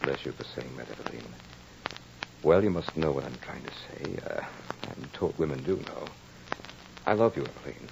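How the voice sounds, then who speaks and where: Deep-voiced brooding nobleman, old radio. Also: expressive, moderate pace, creaky, pauses often, noisy background, exaggerated voice. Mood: tender, ardent. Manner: earnest, theatrical, formal speech. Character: brooding French nobleman, former soldier, lovestruck and uneasy. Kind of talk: 1940s radio drama acting. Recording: dull old radio recording with music.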